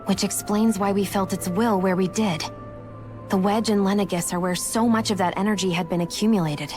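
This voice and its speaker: A woman's voice: medium pitch, fairly even intonation, brisk, over music, close to an everyday voice. A woman playing a composed, sharply questioning adventurer in a video game cutscene. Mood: serious, probing.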